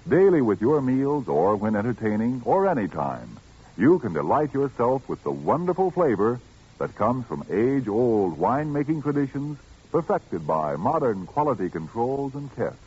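1940s radio commercial, man announcing, husky tone, very varied intonation, dull vintage sound. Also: medium pitch, sing-song, moderate pace, a little mellow. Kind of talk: 1940s radio advertising read. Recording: muffled 1940s radio recording.

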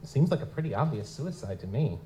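A man playing a goblin gumshoe, wry, noir radio-play style, dark soft tone, some background noise. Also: medium pitch, big pitch swings, a little fast, lightly acted. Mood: polite, probing. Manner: wry, feigns hesitant politeness. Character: wisecracking male lutin goblin private investigator, narrating noir-style. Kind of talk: hard-boiled noir audio drama narration and dialogue. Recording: noisy live stage recording.

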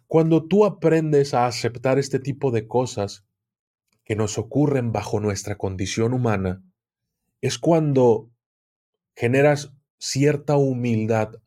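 A man's voice: medium pitch, darkish tone. A man, a podcast guest talking casually and reflectively, explaining with everyday examples and laughing often. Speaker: podcast guest, occultism channel host. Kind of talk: casual interview chat.

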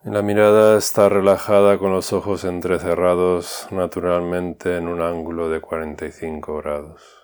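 A man leading a guided meditation, giving calm, unhurried instructions with long pauses. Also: sits low, clear, resonant tone.

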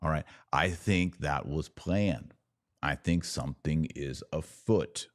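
A man with a very low-pitched voice gives conversational opinion commentary as a podcast host. He is emphatic, leaning on repetition and rhetorical questions with a wry streak. The recording is dry, with hardly any room sound. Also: slow, no echo. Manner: emphatic, repeats words and asks rhetorical questions.

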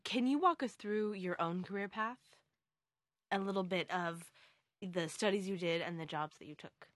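A woman's voice: fairly low pitch, normal speed, dry recording. A woman interviewer putting questions to a guest in a conversational interview.

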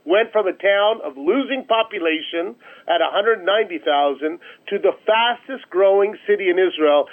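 A man speaking as an interview guest over a phone line, pitching his organization emphatically and repeating phrases for effect. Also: moderate pace. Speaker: charity chief executive, interview guest. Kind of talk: promotional radio interview talk. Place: remote call into a radio show. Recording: narrow, tinny phone line.

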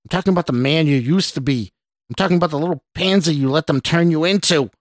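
A man's voice: medium pitch, animated, moderate pace, dry recording, natural voice. Casting: man to play menacing mirror stranger for audiobook, male, snarling, scornful, mocking, dry close sound. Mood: irritated, snarling. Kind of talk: audiobook character voice.

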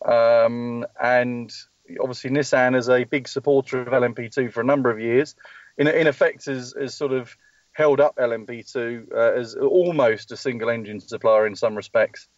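A man's voice: mid-range voice, fairly even intonation, normal speed, no room sound, lo-fi sound. Rambling man on a phone-in. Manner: rambling, many ums and restarts. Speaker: motorsport team spokesperson. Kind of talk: live call-in interview. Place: at a race track testing session.

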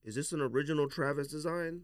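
A man's voice: on the high side, fast, dry recording, clean studio sound. A man hosting a casual podcast chat, speaking in a slangy, opinionated way. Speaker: podcast host.

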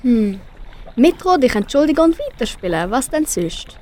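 A woman's voice: sits high, big pitch swings, normal speed, a little mellow, slight background noise. A teenage girl with a Swiss German accent voicing a sensible, comforting fish in an amateur school radio play. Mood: encouraging. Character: sensible, comforting red-finned fish friend.

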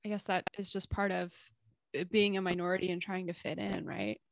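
A woman from the American Midwest who interviews her guest in a gentle, attentive way and often murmurs short acknowledgments. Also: lowish voice, fairly even intonation, fairly quick, gravelly, no echo.

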